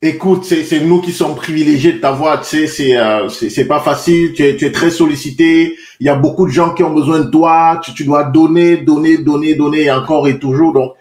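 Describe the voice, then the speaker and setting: A man hosts a podcast and introduces his guest in an earnest, formal way, repeating himself for emphasis. His voice sits fairly high for a man, and the room around him rings with echo. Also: fairly even intonation, a little fast, hardly any pauses, a little mellow. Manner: earnest, formal welcome with repetitions. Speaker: podcast host. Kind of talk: podcast interview. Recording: echoey room.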